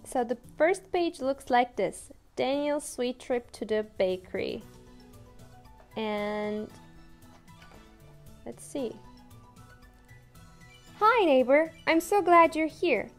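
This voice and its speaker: A young woman with a Turkish accent reads a picture book to small children in a sing-song, playful voice. She speaks very slowly and sits fairly high in pitch. Faint music plays behind her in a small room. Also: lively intonation. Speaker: English teacher. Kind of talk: reading a picture book aloud to toddlers.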